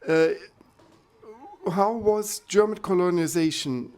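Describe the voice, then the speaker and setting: A man hosting a podcast interview, asking measured, formal questions. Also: sits high, a little mellow. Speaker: podcast host.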